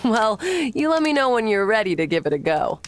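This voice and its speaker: A woman's voice: a little high, big pitch swings, fairly quick, slight background noise. A woman playing a hearty, self-reliant blacksmith in a video game. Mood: confident, hearty. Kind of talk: video game character dialogue.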